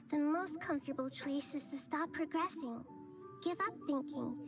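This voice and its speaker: A woman voicing a young-sounding goddess in a game, speaking softly, calmly and thoughtfully, wise beyond her years. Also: very high voice. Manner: soft, calm and thoughtful speech. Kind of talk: game cutscene voice acting. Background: game music.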